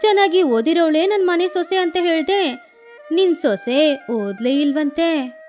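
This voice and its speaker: A woman's voice: very high voice, a little slow, a little mellow, faint music behind. A woman voicing a nosy, mocking village neighbour woman with a taunting manner in a storybook tale. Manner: taunting, insinuating.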